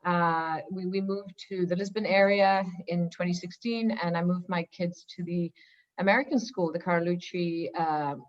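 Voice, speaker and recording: A woman from Singapore speaking on a webinar panel as a school founder, lively and chatty with many fillers. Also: fairly low pitch, normal speed. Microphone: video call. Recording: dull lo-fi video call audio.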